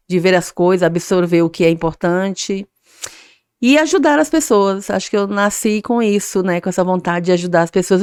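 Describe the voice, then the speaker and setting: Determined woman with a smooth voice. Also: medium pitch, a little mellow, no room sound, studio quality. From Maranhão, Brazil. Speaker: guest, association president. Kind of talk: interview answers.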